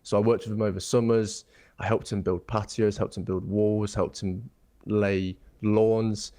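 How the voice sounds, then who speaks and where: A young man from England with a fairly low voice, speaking as a guest on an interview show. He talks in a loose, thoughtful way, full of ums and false starts as he circles back on his words. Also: little pitch movement, a little slow, mellow. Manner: thoughtful, rambling, many ums and restarts. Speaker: guest architect. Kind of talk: casual interview chat.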